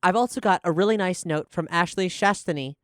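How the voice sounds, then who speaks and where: Podcast host, medium pitch, clear smooth voice, articulate, earnest, dry recording. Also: fairly quick, a bit bright, no echo. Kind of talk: podcast Q&A discussion.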